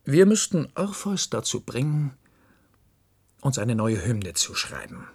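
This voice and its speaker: A man's voice: mid-range voice, very animated, slow pace, creaky, a little hiss or hum, full character voice. A man playing a smug, cultivated, scheming official in a science-fiction radio play, speaking precisely and condescendingly. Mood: smug, calculating.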